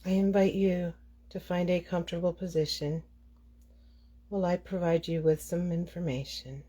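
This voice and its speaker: Female meditation guide, lower register, very soft-edged dark tone, measured phrasing with pauses. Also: steady tone.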